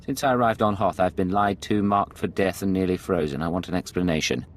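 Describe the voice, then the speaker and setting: A man's voice: sits low, brisk, a little hiss or hum, close to an everyday voice. An actor playing a cool, hard-edged male imperial secret agent in a video game. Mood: indignant, demanding.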